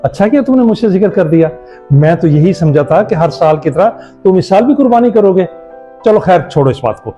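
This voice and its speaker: A man acts out a principled, strict father in a moral-story drama. His voice is high and lively, with a soft, mellow tone. He speaks emphatically, like a parent used to scolding and lecturing. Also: faint music behind. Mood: friendly. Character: strict but principled father, middle-aged, quick to scold. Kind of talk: Urdu moral-story audio drama acting.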